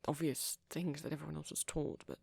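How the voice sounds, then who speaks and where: A woman voicing a shy, gentle young male vampire boyfriend in an ASMR roleplay, speaking breathily and hesitantly with small stammers. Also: low voice, steady tone, brisk, creaky, husky, no room sound, heavily acted. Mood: wistful, hesitant. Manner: hesitant, stammering, softly whispery. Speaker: audio roleplay performer.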